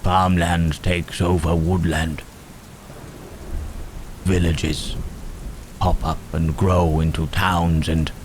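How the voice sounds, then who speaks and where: A man voicing Frankenstein's monster, a gentle, brooding, long-lived creature, in halting, musing phrases for an ASMR roleplay drama. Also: sits low, slight background noise. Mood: reflective, wistful. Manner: halting, deliberate phrasing with musing questioning murmurs. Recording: steady rain and thunder sound effects. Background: rain and thunderstorm ambience.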